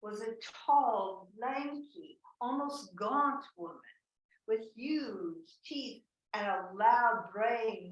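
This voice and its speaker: Slow, wry woman telling a story. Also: a little high, a bit bright. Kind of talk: personal storytelling. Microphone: computer mic on a video call. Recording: roomy and distant from the mic.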